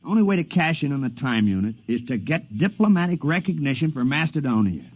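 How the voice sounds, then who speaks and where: Cautious, worried man, animated pitch; lo-fi vintage radio. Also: fast. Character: nervous, cautious male time-travel companion. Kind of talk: 1950s radio drama acting. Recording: dull lo-fi 1950s radio broadcast.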